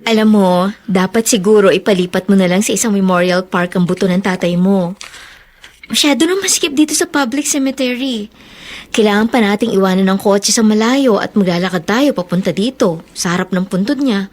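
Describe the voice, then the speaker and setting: A woman's voice: mid-range voice, a little fast, darkish tone, lightly acted. An actress playing a practical young woman in a radio drama who is easily alarmed. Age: young adult.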